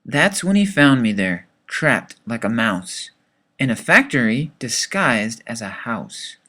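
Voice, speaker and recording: A man reading a rhyming children's story as its author, with dramatic, expressive delivery. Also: medium pitch, slow pace.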